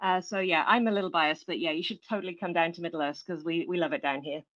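A woman's voice: on the low side, fast talker, fairly bright tone. Upbeat English woman on a muffled call. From England. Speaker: guest, tech entrepreneur. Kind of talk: casual interview talk.

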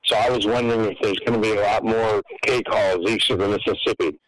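A man's voice: on the low side, fairly even intonation, quick pace, fairly bright tone, rough recording. A man from Michigan calling in to a radio show with a casual question. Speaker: caller.

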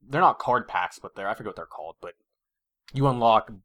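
A young man co-hosting a casual podcast, getting animated and ranting at his friends. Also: on the low side, a little fast. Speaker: podcast co-host. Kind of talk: casual chat.

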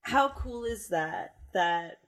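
A woman's voice: medium pitch, lively intonation, slow pace, fairly bright tone. A woman co-hosting a podcast, talking in an enthusiastic, bubbly way. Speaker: podcast co-host. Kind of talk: casual podcast chat.

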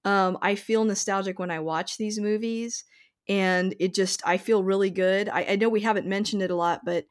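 A woman's voice: medium pitch, fairly even intonation, moderate pace. A woman hosting a podcast, chatting casually and enthusiastically about films. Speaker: podcast host.

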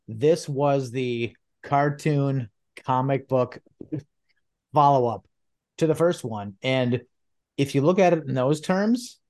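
A man's voice: mid-range voice, a little mellow. A man guesting on a podcast, talking casually with lots of laughs and swearing. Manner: profane, laughing, enthusiastic. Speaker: co-host guest. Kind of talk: casual chat.

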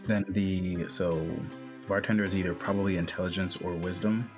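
Man, player; deep voice, matter-of-fact, faint music behind. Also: fairly even intonation, normal speed. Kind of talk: casual game chat.

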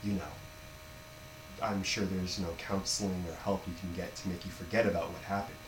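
Audio drama casting: man as stern police interrogator, lowish voice, measured and admonishing, roomy echo with faint hum. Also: level tone, natural voice. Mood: grave, admonishing. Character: stern police interrogator addressing a murder suspect. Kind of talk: naturalistic audio drama dialogue. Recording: echoey room, faint hum.

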